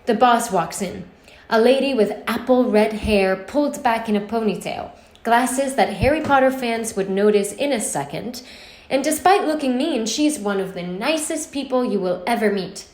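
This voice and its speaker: A woman reading a first-person story aloud in an expressive storytelling style. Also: on the high side, moderate pace. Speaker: story reader. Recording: roomy, echoing space.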